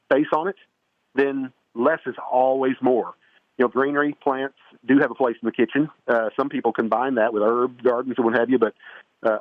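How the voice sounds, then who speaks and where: A man's voice: mid-range voice, dry recording. A man giving home improvement advice as a radio show guest, talking in a folksy, rambling way with many restarts. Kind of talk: casual radio interview. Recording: tinny phone-line sound.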